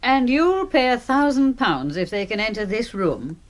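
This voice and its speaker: A woman's voice: fairly high pitch, animated, normal speed, lightly acted. An actress playing an outspoken, anxious woman in a radio drama. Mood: sceptical.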